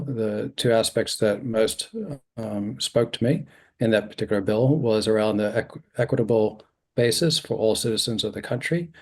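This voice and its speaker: A middle-aged man giving an earnest, measured submission to a committee over a video call, with frequent ums and restarts. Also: on the low side, a little mellow. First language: not originally from New Zealand.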